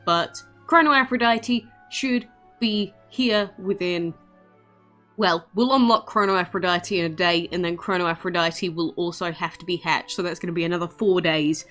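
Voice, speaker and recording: A woman's voice: lowish voice, moderate pace, fairly bright tone, soft background music. A woman giving casual, chatty gameplay commentary in an informal, self-deprecating way as a video host.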